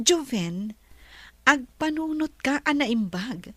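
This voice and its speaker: A woman with an Ilocano Filipino accent playing a gentle, wealthy bride-to-be in a radio drama. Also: sits high, animated, extremely slow, no room sound, exaggerated voice. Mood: warm, teasing.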